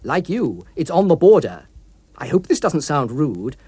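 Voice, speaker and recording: A man reading an audiobook, voicing an anonymous male postcard writer who is mock-friendly, arch and insinuating, with quiet menace. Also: a little high, big pitch swings, unhurried, husky, no echo, full character voice.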